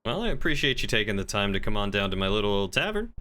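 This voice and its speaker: A man's voice: lowish voice, big pitch swings, fast talker, sharp tone. A man playing an affable small-town tavern keeper in improvised game roleplay, with polite, folksy small talk. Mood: curious, polite.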